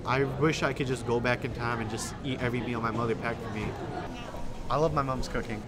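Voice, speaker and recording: Young American man over loud crowd noise. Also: mid-range voice, fairly even intonation, brisk. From Indonesia and Illinois, United States. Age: young adult. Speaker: documentary interviewee. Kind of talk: reflective personal storytelling to camera. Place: busy food hall, indoors. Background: loud crowd chatter.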